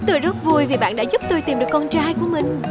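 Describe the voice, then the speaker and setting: A woman playing a young cartoon mother with a high voice, grateful and earnest. Music plays loud behind her. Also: fast, lightly acted. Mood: relieved.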